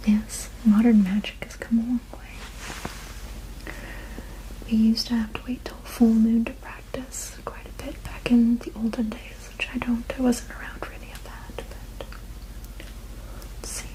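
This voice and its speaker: A woman whispering gently and reassuringly in an ASMR roleplay as a soothing witch healer, with a breathy, unvoiced voice. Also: on the high side, flat delivery, unhurried, long pauses, poor audio. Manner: gentle, soothing, reassuring, repeating soft words. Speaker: ASMR performer.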